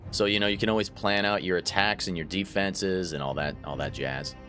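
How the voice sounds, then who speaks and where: A man with a lowish voice hosts a let's play, chatting casually to his viewers. His nose is a little stuffy. Quiet game music runs in the background. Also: a little fast. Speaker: gaming channel host. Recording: faint game music behind.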